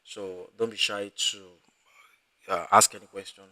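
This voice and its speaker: A man teaching a live online class, talking in a halting way with many fillers and restarts. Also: lowish voice, flat delivery, pushed and bright, no echo, rough recording. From Africa. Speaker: instructor.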